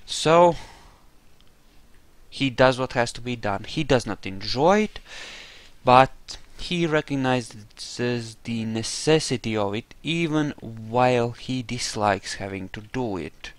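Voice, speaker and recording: A man doing roleplay commentary over a game, musing aloud and explaining his character's reasoning in a deliberate way. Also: medium pitch, expressive, dry recording. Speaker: gamer narrating a roleplay playthrough.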